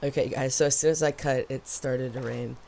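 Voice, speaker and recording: A man with a slightly hoarse voice giving casual, rambling, unscripted let's play commentary as host of a gaming video. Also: mid-range voice, steady tone, a little fast, darkish tone, slight background noise. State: slightly hoarse after losing voice from yelling.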